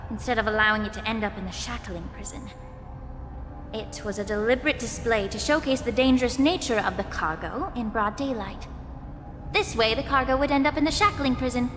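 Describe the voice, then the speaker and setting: A woman plays a composed, resolute young warrior in a video game. Her voice is a little high, serious and precise, with long reverb and music behind it. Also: close to an everyday voice. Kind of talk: scripted video game dialogue.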